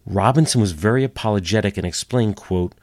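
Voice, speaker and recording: A man's voice: lowish voice, a little fast, darkish tone, no echo. A man hosting a storytelling history podcast, slightly nasal from a cold, with dry wry asides. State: getting over a cold. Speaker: podcast host. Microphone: home computer setup.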